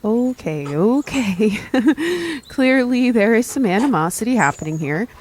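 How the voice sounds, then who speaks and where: A woman playing a cautious, diplomatic young friend in an audio drama, with a casual, measured way of reasoning. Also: on the high side, very animated, a little mellow. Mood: placating. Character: level-headed, cautious, diplomatic member of a young gang.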